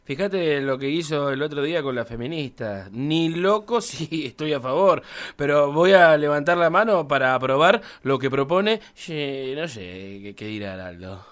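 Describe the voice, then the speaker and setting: Guy doing a flustered argentine politician, highish clean voice, blusters and repeats himself, radio comedy. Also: expressive, normal speed, fairly bright tone. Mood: indignant. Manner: stammering, repeats himself emphatically. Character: flustered, blustering, self-contradicting male party politician. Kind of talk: satirical radio play acting.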